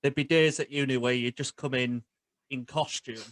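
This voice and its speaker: A young man in his twenties hosting a casual interview, putting his questions in a halting, slightly stammering way. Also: mid-range voice, steady tone, fairly bright tone, no room sound. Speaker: podcast host, interviewer.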